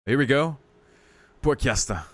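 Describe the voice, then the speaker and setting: A man's voice: medium pitch, expressive, unhurried, dry recording. A man doing casual gameplay commentary as a video host, reacting with exclamations and mock exasperation.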